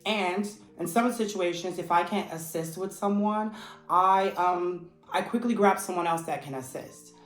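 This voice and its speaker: A man who teaches English, giving a steady, earnest explanation in vlog style. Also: sits high, measured pace. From United States. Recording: echoey room with faint music. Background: faint background music.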